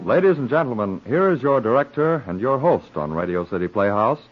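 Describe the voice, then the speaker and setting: A man announcing and narrating a 1940s radio drama in a measured, formal, theatrical style. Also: mid-range voice, big pitch swings. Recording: dull vintage radio recording with music. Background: music.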